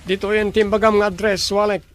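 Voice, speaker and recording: An actor playing a young man, a forceful rival in love, in a radio drama with a Filipino accent. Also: high-pitched, flat delivery, fairly quick, lightly acted. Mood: earnest. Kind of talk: Ilocano radio drama acting.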